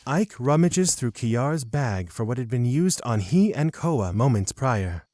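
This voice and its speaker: Male narrator, medium pitch, close dry sound, scripted fantasy storytelling. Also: expressive, unhurried, dark tone, no echo.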